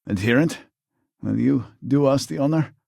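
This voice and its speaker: A man's voice: mid-range voice, moderate pace, vocal fry, mellow, no room sound, clean studio sound. An actor playing an elderly, proud and impatient male scientist in a video game, speaking in a formal, theatrical way. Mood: measured, urgent. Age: older.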